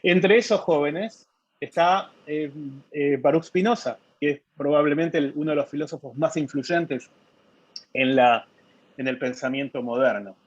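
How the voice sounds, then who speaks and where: Man, husky, hesitant interview answers, dry lo-fi sound. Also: a little high, darkish tone, dry recording. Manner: thoughtful, many hesitations and repeated words. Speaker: novelist being interviewed. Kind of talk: conversational interview.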